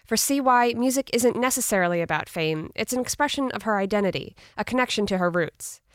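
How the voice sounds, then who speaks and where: A woman anchoring a student radio newscast in a crisp, formal manner. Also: medium pitch, expressive, fairly quick, no room sound, studio quality. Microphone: studio mic.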